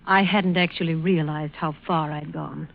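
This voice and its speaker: An actress playing an emotional, high-strung young woman of the theatre in a 1940s radio drama. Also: fairly even intonation, normal speed, gravelly, slight background noise. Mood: earnest, apologetic. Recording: dull vintage radio broadcast recording.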